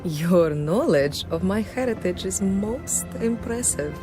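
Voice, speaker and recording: A woman's voice: mid-range voice, expressive, slow pace, over music. An actress playing a reserved, gracious lady traveller, polite and composed, in a video game. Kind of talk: video game dialogue acting.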